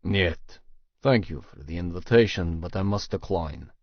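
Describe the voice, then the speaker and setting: Stern Russian romance hero read by a male narrator: formal, curt, low and gravelly. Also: animated, a little slow, dry recording, muffled recording, exaggerated voice. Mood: stern, restrained.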